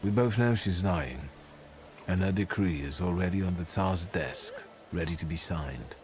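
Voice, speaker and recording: A commanding male occultist in a period video game. His voice is very low, stern and self-assured. A faint ambience lies underneath. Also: unhurried, darkish tone, slight background noise. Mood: resolute.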